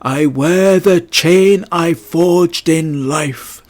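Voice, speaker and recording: A doomed ghost from a storybook, mournful and declamatory. Every word is stretched very slowly in a raised, wailing pitch, like a dead businessman lamenting his fate. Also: darkish tone. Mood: grave, bitter. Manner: slow, drawn-out, wailing declamation.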